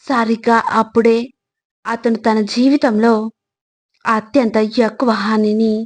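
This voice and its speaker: A woman reading scripted motivational quotes as a narrator, in a deliberate, instructive manner with short phrases. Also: a little high.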